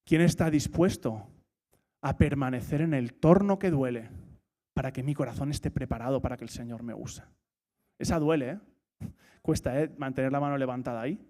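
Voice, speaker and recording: A man's voice: on the high side, a little mellow. A man preaching a sermon in church. He asks rhetorical questions, repeats his key points and jokes now and then.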